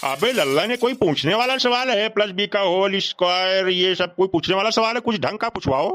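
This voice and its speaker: A man's voice: high voice, a little fast, a bit bright, slight background noise. A man voicing a stubborn, boastful old village uncle in a comic cartoon, argumentative and colloquial. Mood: indignant, baffled.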